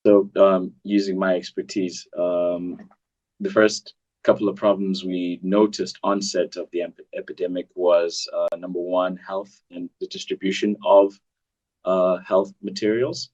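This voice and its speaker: A man from South Africa speaks as a doctor on a panel, working through numbered points with frequent fillers. His voice is very low. The recording sounds dull and lo-fi. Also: little pitch movement, unhurried, darkish tone. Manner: hesitant, lists points with fillers. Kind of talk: panel discussion.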